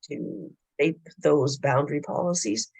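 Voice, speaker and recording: A hoarse man with a gravelly, husky voice talks a board through governance principles. He explains in a halting way, pausing often as he builds his points. The sound is close and dry, with no room echo. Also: on the high side, animated, darkish tone. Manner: explanatory, halting with frequent hesitations. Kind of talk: formal presentation at a board meeting. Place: public board meeting room.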